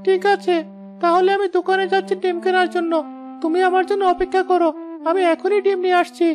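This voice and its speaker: A woman's voice: very high voice, flat delivery, fast talker, over music. A woman voicing an earnest, dutiful schoolboy from a poor family in a cartoon moral story.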